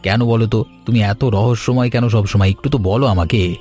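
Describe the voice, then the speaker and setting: A man playing a curious, courteous young man in a cartoon, with an inquisitive, slightly halting way of talking. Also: on the low side, mellow, lightly acted. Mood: gently probing. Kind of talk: cartoon voice acting. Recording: music under the voice.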